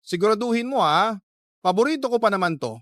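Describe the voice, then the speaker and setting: A man's voice: high voice, expressive, a little fast, fairly bright tone, no echo, studio quality, voice pushed up. A man voicing a bossy, condescending elder sister in a radio drama, with a demanding manner.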